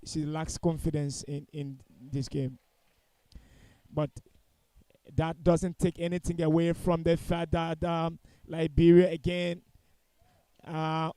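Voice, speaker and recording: A male football commentator with a high-pitched, mellow voice. He calls the match live and offers blunt, critical opinions, repeating phrases for emphasis. The sound is dry and close. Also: smooth, dry recording, poor audio.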